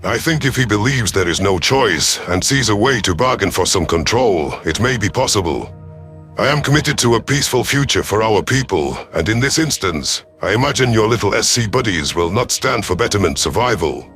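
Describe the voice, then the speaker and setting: Man, low voice, scripted sci-fi narration, stern alien commander, formal, deliberate, music bed. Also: animated, a bit bright. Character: stern, calculating male alien predator commander. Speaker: audio story narrator. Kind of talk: scripted first-person sci-fi story narration in character.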